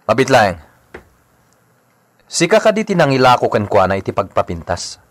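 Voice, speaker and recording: A man acting as an attentive, coaxing Filipino man in a radio drama. His medium-pitched voice moves up and down in a lively way as he plays solicitous host to his cousin. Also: normal speed. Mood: casual, coaxing.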